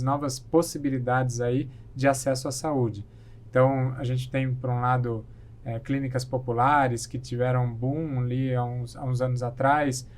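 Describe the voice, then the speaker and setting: A man's voice: medium pitch, darkish tone. A man talking as a podcast guest, thoughtful and hesitant, often restarting his sentences. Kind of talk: casual chat.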